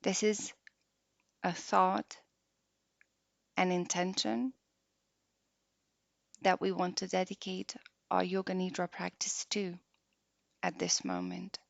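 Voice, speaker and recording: A woman guiding a meditation practice softly and unhurriedly, leaving long pauses between short phrases. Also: on the low side, lively intonation, vocal fry, no room sound. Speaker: yoga nidra meditation guide. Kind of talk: slow guided meditation. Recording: dull lo-fi close dry recording.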